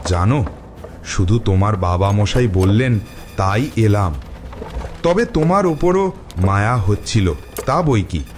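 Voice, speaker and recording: A man playing a timid young village farmhand who fears ghosts, pleading in a trembling, whispery voice for an animated horror story. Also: lowish voice, noisy background. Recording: loud music under the voice.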